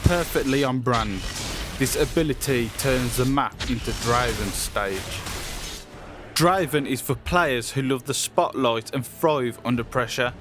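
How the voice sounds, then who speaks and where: A man's voice: mid-range voice, measured pace, fairly bright tone, faint music behind. A man presenting a game character showcase in a scripted promotional narration style.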